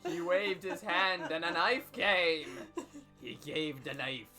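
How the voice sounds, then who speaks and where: A man's voice: on the high side, slow, music in the background. A man hosting an over-dramatic comedic reading of fan fiction, theatrical and emphatic. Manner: theatrical, emphatic, with sudden shouts.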